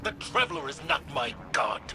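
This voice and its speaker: An actor playing a military commander, subdued and earnest in grief. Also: male voice, medium pitch, very animated, moderate pace, lightly acted. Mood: grieving.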